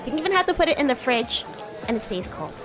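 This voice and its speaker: A woman's voice: high voice, sing-song, quick pace, over music, a crowd in the background. A woman voicing a bratty, flustered young female demon classmate in improvised livestream roleplay, teasing and stammering as she acts haughty and defensive. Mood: chatty. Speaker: VTuber streamer.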